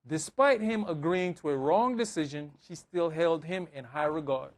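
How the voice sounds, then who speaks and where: A man with a fairly high voice delivers a conversational sermon. He speaks as a preacher, driving points home with emphatic repetition and everyday examples. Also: moderate pace. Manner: emphatic, repeating phrases, acting out dialogue. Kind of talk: conversational sermon with scripture reading and anecdotes.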